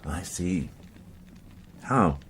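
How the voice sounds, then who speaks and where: Deep-voiced probing police inspector. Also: male voice, lively intonation, drawn-out words, a bit bright, slightly rough, exaggerated voice. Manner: terse, probing questioning. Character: probing, quietly sympathetic male police inspector. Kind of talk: naturalistic radio drama acting.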